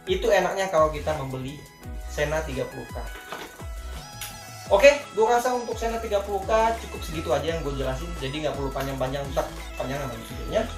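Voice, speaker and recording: A man's voice: on the high side, expressive, fast talker. Casual Jakarta man in an echoey room. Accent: Jakarta Indonesian. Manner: informal, colloquial, repeatedly addressing viewers as friends. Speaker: vlogger reviewing gear. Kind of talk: casual product review talk. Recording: echoey room with faint music.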